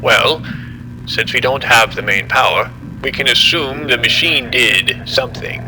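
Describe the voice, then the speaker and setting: A man playing a formal, measured scientist who explains a disaster in an audio drama. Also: mid-range voice, background noise, lightly acted. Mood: measured, explaining. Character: apologetic, condescending male scientist explaining a disaster.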